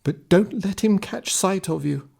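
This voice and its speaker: A man's voice: high-pitched, measured pace, vocal fry, a little mellow, slightly rough, voice pushed up, exaggerated voice. A man voicing a wary little old woman in a fairy tale, giving urgent warnings. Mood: cautioning.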